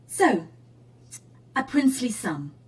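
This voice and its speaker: An actress playing an awkward, flustered romance novelist, nervous and earnest, with a husky voice, in a radio drama. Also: female voice, on the high side, big pitch swings, slow pace, full character voice. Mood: nervous, polite. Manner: nervous, self-correcting, earnest.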